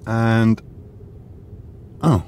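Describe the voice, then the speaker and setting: An actor with a British accent playing a polite, sardonic retired archaeologist in an audio drama, hesitant and curious. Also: male voice, lowish voice, drawn-out words, a little mellow. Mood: musing, sardonic. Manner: muttering musings, hesitant, polite. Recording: faint ambient noise behind.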